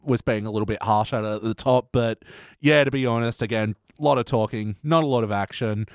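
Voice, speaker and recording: A man's voice: lowish voice, fairly quick, no room sound, clean studio sound. A man co-hosting a podcast in blunt, sweary, casual chat about a TV show. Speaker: podcast co-host.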